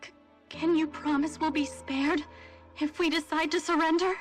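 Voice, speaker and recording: A woman's voice: high-pitched, steady tone, normal speed, recorded from a distance, faint music behind, close to an everyday voice. A woman playing an anxious, despairing militant in a video game cutscene, with a worried way of talking.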